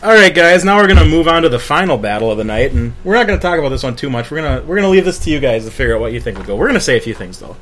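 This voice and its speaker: A man's voice: on the high side, very animated, very fast, few pauses, fairly bright tone. A man hosting a casual fan discussion, agreeable and steering the talk toward verdicts. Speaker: podcast host.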